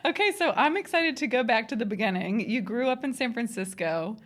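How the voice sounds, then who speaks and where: A woman's voice: fairly high pitch, expressive, fairly quick. Woman hosting a conversational interview. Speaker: podcast host.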